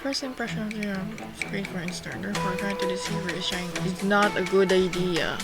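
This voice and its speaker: A woman's voice: mid-range voice, steady tone, a little fast. A woman livestreamer giving casual, hesitant commentary as she thinks aloud over a game. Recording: loud game music behind.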